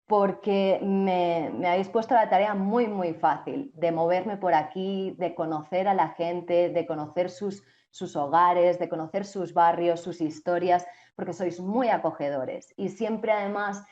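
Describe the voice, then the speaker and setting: A woman's voice: on the low side, fairly even intonation, measured pace, few pauses, a little mellow, lo-fi sound. A young woman from Spain with a Spanish accent, a journalist answering interview questions thoughtfully, with frequent restarts and fillers. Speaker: TV journalist and co-host.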